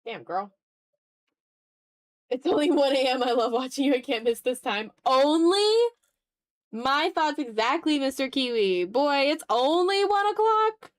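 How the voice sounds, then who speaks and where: A woman's voice: sits high, animated, unhurried. Casual, playful woman art streamer. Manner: playful, dramatic groaning, swears casually. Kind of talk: casual live-stream chat while drawing.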